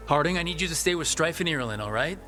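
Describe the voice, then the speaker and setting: An actor playing a resolute young male adventurer who leads a team in a video game, calm and direct. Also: fairly high pitch, fast talker, faint music behind. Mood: firm, reassuring. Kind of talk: video game dialogue acting.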